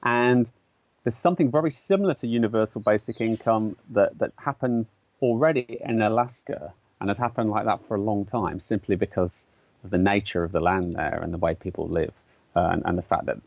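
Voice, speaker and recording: A man with a fairly low voice explains his ideas as an interview guest. He talks thoughtfully, stopping to restart and filling pauses with ums. The sound is dry and a little dull, like a lo-fi recording. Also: a little mellow, dry recording. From English-speaking country. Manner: thoughtful, hesitant, many fillers and restarts. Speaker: artist and educator, guest.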